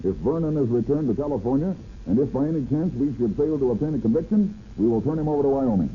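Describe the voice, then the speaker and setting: An actor playing an earnest state prosecutor in an old radio drama, formal and persuasive. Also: male voice, mid-range voice, animated, fast talker, lightly acted. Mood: earnest pleading. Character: earnest, formal male state prosecutor pleading his case. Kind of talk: 1930s radio acting. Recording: muffled, hissy old radio recording.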